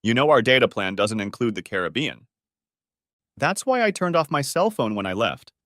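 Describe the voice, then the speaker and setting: A man's voice: medium pitch, big pitch swings, normal speed, fairly bright tone, dry recording, studio quality. A man voicing a sarcastic, wounded husband in a dramatic story reading. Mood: pointed, bitter. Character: Steve, sarcastic, wounded husband needling his wife. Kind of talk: audiobook-style dramatic reading of dialogue.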